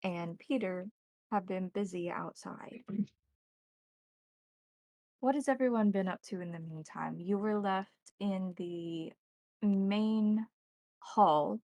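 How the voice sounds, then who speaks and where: A woman narrating a tabletop roleplaying game as game master, setting scenes in a descriptive, measured way. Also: lowish voice, gravelly, darkish tone, no room sound.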